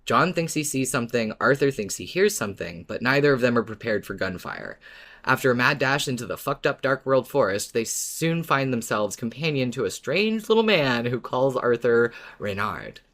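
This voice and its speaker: A man's voice: medium pitch, sing-song, normal speed, fairly bright tone. A man co-hosting a fan podcast, chatting and recapping with playful, wry enthusiasm. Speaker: podcast co-host.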